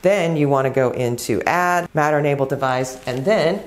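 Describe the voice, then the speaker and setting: A woman with a strikingly deep voice for her sex walks through a product demo one step at a time. She speaks plainly and instructively, as a presenter of tech tutorials. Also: normal speed.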